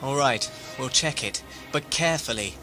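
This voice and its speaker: A man's voice: mid-range voice, lively intonation, normal speed, over loud music, natural voice. A man playing a sceptical, cynical, probing character in an audio drama. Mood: suspicious. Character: Dale, a sceptical, cynical, probing male cultist. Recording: very noisy effects and ambience behind.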